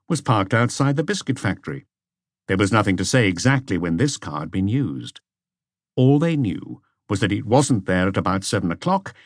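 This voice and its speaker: A man narrates a mystery audiobook in a fairly low voice. The recording is close and dry, with very little room around the words. He reads from a script as a storyteller. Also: no room sound. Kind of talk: scripted narration of a detective novel.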